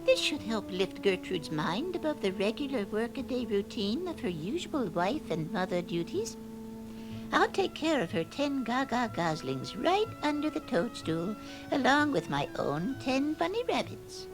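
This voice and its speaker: A man's voice: very high-pitched, very animated, a little slow, pitched above the performer's own voice, heavily acted, low-quality recording. A man voicing a kindly, sensible mother rabbit on a children's storybook record, motherly and eager to help. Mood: helpful. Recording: vintage record with music behind.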